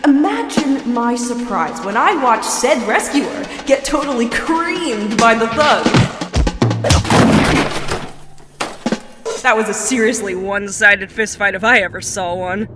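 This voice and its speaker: A woman's voice: on the high side, expressive, moderate pace, hardly any pauses, natural voice. A young woman acting in an audio drama as a sarcastic, teasing superhero sidekick who builds gadgets. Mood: mocking. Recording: loud music bed behind voice.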